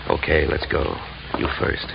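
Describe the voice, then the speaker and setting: An actor playing a cool, wisecracking private detective with clipped, wry delivery in an old-time radio drama. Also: male voice, measured pace, close to an everyday voice. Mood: wry, assured. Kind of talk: 1940s-50s radio detective acting. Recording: noisy, muffled old radio recording.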